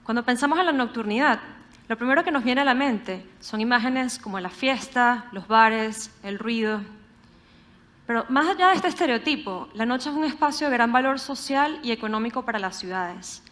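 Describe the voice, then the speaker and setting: A young woman from Caracas with a Venezuelan accent, giving an earnest, measured prepared talk and asking the audience rhetorical questions. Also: a little high, lively intonation, a bit bright. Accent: Venezuelan Spanish, Caracas.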